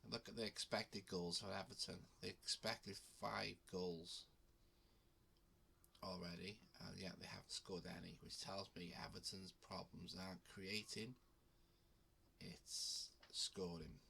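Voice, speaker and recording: Deep-voiced man with a cold. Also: measured pace, gravelly, slight background noise. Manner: relaxed, with frequent ums and restarts. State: has a cold. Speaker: betting channel host. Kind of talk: casual talk to camera.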